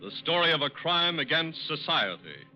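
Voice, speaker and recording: A man's voice: on the high side, big pitch swings, moderate pace, soft background music. A man announcing a 1940s radio programme from a script, stately and emphatic. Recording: dull old radio recording.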